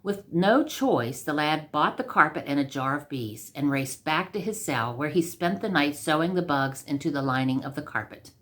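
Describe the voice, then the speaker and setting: A woman's voice: deep voice, lively intonation, normal speed, hardly any pauses. A woman storyteller reading a scripted comic tale aloud.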